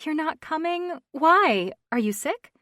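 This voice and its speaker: A woman voicing an excitable young woman friend chatting on the phone, gushing and teasing, in a dry, close reading. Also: sits high, takes it slowly, dry recording, pitched above the performer's own voice, full character voice. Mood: excited.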